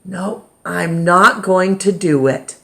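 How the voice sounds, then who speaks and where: A woman voicing an eager young boy in a storybook, with expressive, wistful delivery. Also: lowish voice, takes it slowly, creaky, exaggerated voice. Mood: stubborn. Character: eager young boy who longs for new shoes.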